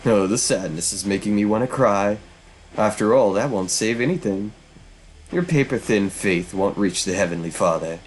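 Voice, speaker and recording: Man playing vengeful hell witch, low-pitched, sardonic, formal, theatrical story villain. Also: lively intonation, moderate pace, a little hiss or hum, close to an everyday voice. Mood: cruel, mock sorrow. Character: vengeful, mocking young witch woman risen from hell.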